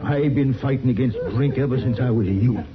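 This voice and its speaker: An actor playing a lonely, courtly old medicine peddler in an old-time radio western, speaking in a florid, obsequious way. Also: male voice, mid-range voice, fairly quick, natural voice. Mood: earnest, solemn. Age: older. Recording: muffled vintage radio recording, crowd noise.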